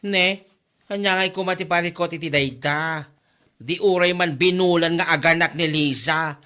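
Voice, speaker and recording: A man's voice: fairly high pitch, moderate pace, pushed and bright, clear, resonant tone. A man with an Ilocano accent playing a gossipy, exclamatory village neighbour in a comedy drama. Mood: teasing.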